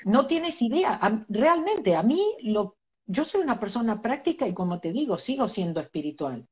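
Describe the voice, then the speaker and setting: A woman's voice: fairly high pitch, sing-song, moderate pace. A middle-aged woman, a guest speaking earnestly in an interview and laughing between her points. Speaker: interview guest, spiritual teacher. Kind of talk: reflective conversational interview.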